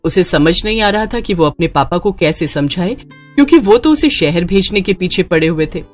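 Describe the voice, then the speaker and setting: A woman's voice: fairly low pitch, lively intonation, moderate pace, faint music behind. A woman narrating a scripted dramatic story as the storyteller.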